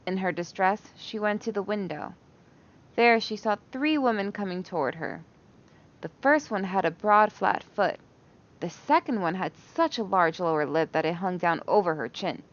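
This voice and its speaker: A woman's voice: mid-range voice, lively intonation, normal speed, highs cut off. A woman reading a children's bedtime story aloud with expression.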